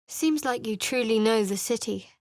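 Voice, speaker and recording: A guarded, sardonic young cleric with a secret, voiced by a woman in a video game, her voice a touch higher than most women's. She delivers every line dry and cutting, and the studio sound is close and completely dead. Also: moderate pace, a little mellow, no echo, lightly acted. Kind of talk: video game character dialogue. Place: studio voice booth.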